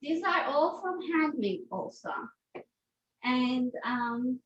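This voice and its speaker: A high-pitched woman with a Turkish accent talks her viewers through a home cooking demo. She is chatty, with loose non-native phrasing and a habit of asking "okay?". The sound is dull and lo-fi. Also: lively intonation, takes it slowly, breathy edge. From Turkey. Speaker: guest chef. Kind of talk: informal cooking demonstration.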